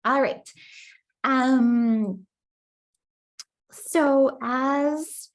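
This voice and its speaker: A woman in her thirties, a native Spanish speaker, talks over a video call from a room at home. She explains things in a casual, friendly way, pausing on ums and starting phrases over. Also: sits high, low-quality recording. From Costa Rica. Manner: friendly, many ums and restarts. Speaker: guest speaker, researcher. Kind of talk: casual online lecture. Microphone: laptop mic on video call.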